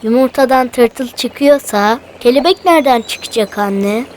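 An actress voicing a curious, excitable young child in a cartoon, wide-eyed and eager with questions. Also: female voice, on the high side, measured pace, slight background noise, lightly acted. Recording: music playing behind the voice.

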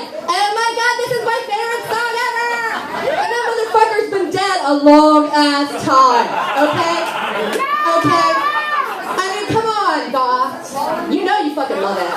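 High-pitched brash goth puppet played by a woman. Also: close to an everyday voice. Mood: shrill, taunting. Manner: profane, bratty, taunting. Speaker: puppeteer performing comedy. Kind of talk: live stand-up puppet comedy. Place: small indoor club stage, far camera. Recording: roomy, distant mic in noisy crowd.

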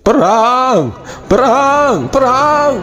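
A man's voice: extremely high pitch, expressive, drawn-out words, a bit bright, pitched above the performer's own voice, exaggerated voice. A man voicing a commanding, solemn king and war leader in a declamatory folk-tale style. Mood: fierce battle cry. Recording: music under the voice.

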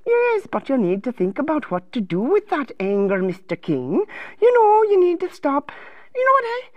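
A high-pitched, fussy advisor acted by a woman in a children's moral lesson. The voice is sing-song and coaxing, patiently instructive, swooping widely in pitch in a dry, close recording. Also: huge pitch swings, normal speed, dry recording, highs cut off.

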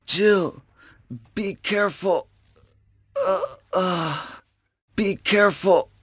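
Brief: wounded male soldier, weak, pained, halting and slow, stilted retro game acting. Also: sits high, lively intonation, fairly bright tone, breathy edge, no room sound, clean studio sound, exaggerated voice. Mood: weak, fading. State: in pain, weak. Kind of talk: 1990s video game voice acting.

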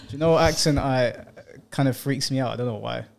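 Young guy on a podcast, chatty, spins little stories, middle pitch. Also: fast talker, vocal fry. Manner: chatty, tells stories with mock voices. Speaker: podcast co-host. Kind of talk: casual chat.

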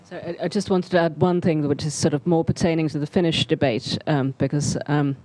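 Mellow-voiced woman panelist, close and dry. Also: low voice, fairly even intonation, brisk, no room sound, low-quality recording. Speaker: academic panelist. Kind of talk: spontaneous panel discussion remarks. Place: seminar auditorium on stage. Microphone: handheld microphone.

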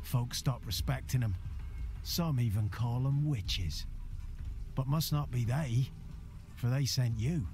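An actor playing a weary, deferential peasant village elder in a video game, solemn and rustic. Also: male voice, mid-range voice, slow, pauses often, mellow, lightly acted. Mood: grave, fearful. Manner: rustic, solemn, archaic dialect. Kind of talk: dramatic video game acting. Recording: music under the dialogue.

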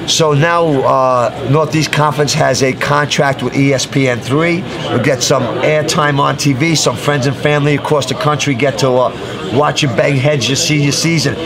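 A middle-aged man interviewing a player on a handheld mic, talking in an energetic, emphatic style at a busy indoor media event. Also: medium pitch, moderate pace, vocal fry. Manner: hyped, emphatic catchphrases. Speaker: sports interviewer. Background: crowd chatter.

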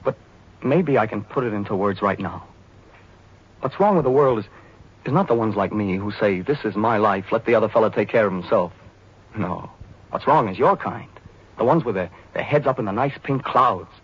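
A man's voice: lowish voice, expressive, moderate pace, husky. An actor playing a cocky, self-serving young American serviceman in the style of a 1940s radio drama. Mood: bitter, scornful. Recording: muffled, noisy vintage 1940s radio.

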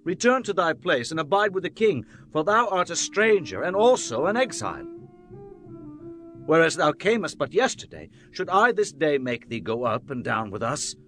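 A man's voice: fairly high pitch, big pitch swings, moderate pace, fairly bright tone, soft background music. An actor playing a troubled, commanding king in a dramatized Bible recording, solemn, impassioned and declamatory. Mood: solemn, concerned. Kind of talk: dramatized Bible audio acting.

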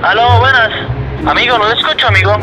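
A man's voice: very high voice, fast talker. A man politely answering a restaurant phone, heard through a phone speaker. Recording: phone speaker outdoors, music, background chatter.

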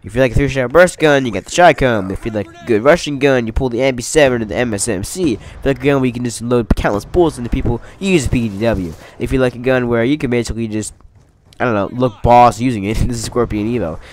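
A young man talks quickly through his gameplay, his voice stuffed up from a cold. He rambles and corrects himself, staying upbeat. A faint hiss of noise sits behind him. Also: mid-range voice. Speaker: gaming commentator. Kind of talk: casual gameplay commentary.

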